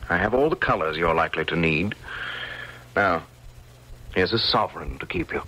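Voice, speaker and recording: An actor playing an imperious young painter, obsessively lovesick, abrupt and commanding, in old radio drama style. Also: male voice, low-pitched, expressive, moderate pace, pushed and bright, exaggerated voice, poor audio. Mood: brusque, commanding.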